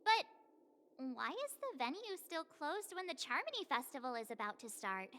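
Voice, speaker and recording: Bubbly young adventurer girl from a game, bright high voice, excitable. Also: normal speed. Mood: excited, puzzled. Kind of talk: video game acting.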